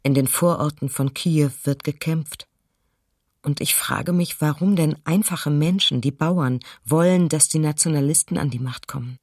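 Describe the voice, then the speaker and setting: An actress playing a sober, reflective woman writer of thirty-seven, reading her diary in a radio docudrama with a husky voice. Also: low voice, normal speed, darkish tone, no room sound, clean studio sound. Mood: troubled. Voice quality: husky, creaky.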